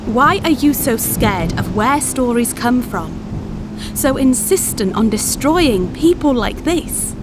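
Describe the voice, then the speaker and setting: A woman playing a gentle, protective therapist in an ASMR audio story, earnest and deliberate, with music behind her. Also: fairly high pitch, lively intonation, background noise. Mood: earnest, defiant. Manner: soothing, earnest, deliberate with pauses. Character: gentle, protective female visualization therapist guarding imagined minds. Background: background music.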